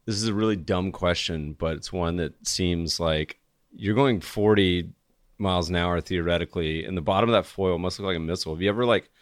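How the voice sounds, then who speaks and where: Guy hosting a podcast, upbeat and jokey, kinda low voice, dry close sound. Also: a little fast, no room sound. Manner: upbeat, joking, animated. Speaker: podcast host. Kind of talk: casual interview chat.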